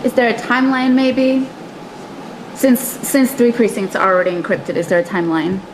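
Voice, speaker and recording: A woman's voice: fairly high pitch, little pitch movement, moderate pace. A woman reporter asking questions at a press conference in an informal but pressing way. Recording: echoey room with clear noise.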